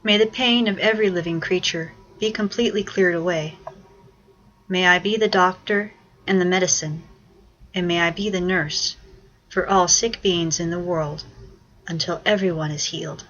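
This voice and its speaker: A woman leading a guided meditation with measured, instructive, prayerful narration. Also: fairly low pitch, fairly even intonation, fairly bright tone, dull audio.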